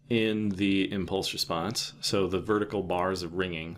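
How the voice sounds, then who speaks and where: A man narrating an informal software tutorial, thinking aloud in a casual way with trailing pauses. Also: on the low side. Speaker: software developer presenting a tutorial.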